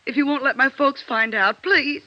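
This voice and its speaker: A woman's voice: sits high, normal speed, dry recording, lightly acted. An actress playing a scared young nightclub showgirl, by turns defiant and pleading, in 1950s radio drama style. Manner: urgent, pleading. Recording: dull vintage radio recording.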